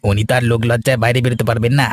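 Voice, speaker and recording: A man's voice: medium pitch, flat delivery, very fast, lightly acted. A man voicing a sly, scheming village man in a cartoon, eager and conspiratorial, with faint music behind. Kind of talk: Bengali cartoon voice acting.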